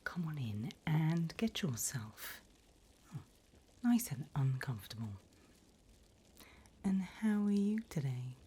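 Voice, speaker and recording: Here is a woman playing a gleefully wicked demoness conducting an infernal interview in an ASMR roleplay. She speaks in a very breathy whisper, low and unhurried. Her phrasing swoops and lingers, mock-formal and teasing. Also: very animated, warm tone, heavily acted. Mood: seductive welcome.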